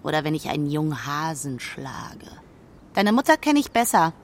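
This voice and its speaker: Haughty female hawk, rumbling background. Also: big pitch swings, normal speed, slight background noise. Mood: proud, boasting. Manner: brusque, dismissive, proud. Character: haughty, aloof female hawk who grudgingly helps. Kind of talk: children's radio play acting.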